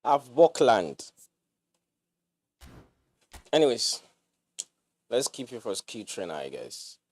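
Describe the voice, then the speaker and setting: A man's voice: mid-range voice, slow pace, no echo. A young Nigerian man giving loose, joking commentary while he plays on a livestream, slipping into pidgin slang. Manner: animated, jokey, mixes pidgin slang. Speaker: gaming streamer.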